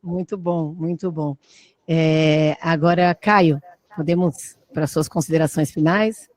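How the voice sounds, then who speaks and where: A woman moderating a webinar panel, briskly passing the floor to each speaker. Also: sits low, steady tone, darkish tone.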